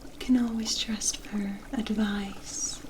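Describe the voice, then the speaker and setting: A woman's voice: medium pitch, little pitch movement, takes it slowly, background noise, natural voice. A woman playing a mysterious, kindly witch in an ASMR roleplay, speaking in a coy, teasing and cryptic way. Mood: gentle, instructive.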